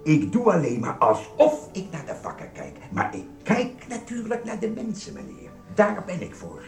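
An actor playing a grumpy, officious supermarket security guard in a radio play, brusque, suspicious and lecturing. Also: male voice, high-pitched, huge pitch swings, normal speed, vocal fry, darkish tone, exaggerated voice. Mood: indignant, distrustful. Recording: roomy echo, music behind.

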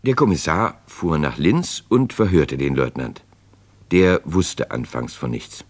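A man narrating a true crime radio play as a sober storyteller, scripted and measured, building suspense. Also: extremely low pitch, lively intonation, exaggerated voice. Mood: sober narration.

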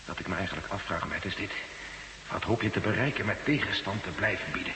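A man's voice: low-pitched, quick pace, natural voice. A man acting as a sober, dutiful, resigned older general in a Dutch radio drama, on a noisy old recording.

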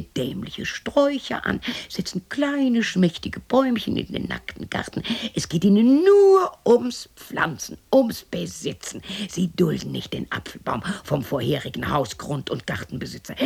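An actress in a radio drama playing a lonely, eccentric, defiant widow of about sixty who talks to herself theatrically in a husky, breathy voice. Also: female voice, lowish voice, heavily acted. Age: 60s. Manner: theatrical, muttering to herself, swinging into shouts. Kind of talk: radio drama monologue acting.

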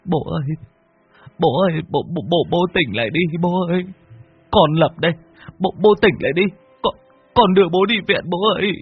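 A man's voice: high voice, fast, few pauses, husky, dry recording, pitched above the performer's own voice, heavily acted. A man voicing a panicked grown son who cries and stammers frantic, repeated calls, in a dull recording. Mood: desperate weeping. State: crying. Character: panicked grown son wailing for his father. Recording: dull recording with faint music.

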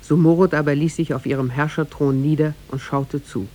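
A man narrating a tale in a 1950s German radio play, formal and measured. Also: fairly high pitch, steady tone, a little mellow, lightly acted. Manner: formal, measured storybook delivery.

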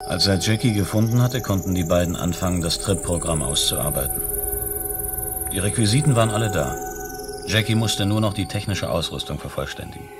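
A man's voice: low-pitched, lively intonation, fairly quick, over music. A man narrating a science-fiction detective radio play in a sober, detached, descriptive way.

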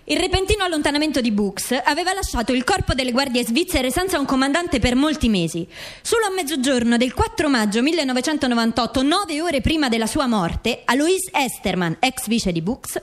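Female narrator for investigative radio theatre, high voice, formal, declarative. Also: sing-song, a little fast. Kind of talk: scripted documentary radio drama narration.

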